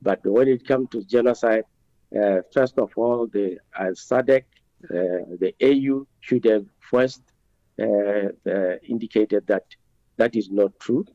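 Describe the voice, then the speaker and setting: A man with a Botswanan accent, answering as a politician in an interview in a halting, deliberate way, with many hesitations. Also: on the low side, warm tone, dry recording, highs cut off. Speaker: member of parliament being interviewed.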